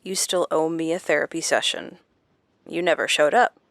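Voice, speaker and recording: A woman's voice: low voice, normal speed, vocal fry, fairly bright tone, no room sound. An actress playing a guarded, uncertain woman learning to open up, speaking in terse, tentative lines of audio drama dialogue. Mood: dry, curious. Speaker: supporting character in a horror audio drama.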